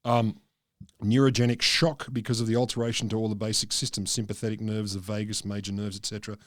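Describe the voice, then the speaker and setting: A middle-aged man co-hosting a casual science podcast, explaining from notes in a halting, dryly joking, sweary way into a close microphone. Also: on the low side, level tone, fairly bright tone, no room sound. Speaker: podcast co-host. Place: small book-lined room, indoors. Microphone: close podcast microphone, headphones.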